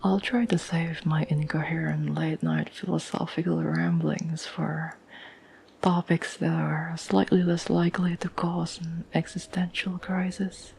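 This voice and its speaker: A woman playing a tender, devoted girlfriend in a whispered ASMR roleplay, speaking softly and gently with earnest, halting reassurance. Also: deep voice, lively intonation, a little hiss or hum, full character voice. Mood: gentle, apologetic. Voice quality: soft, breathy whisper.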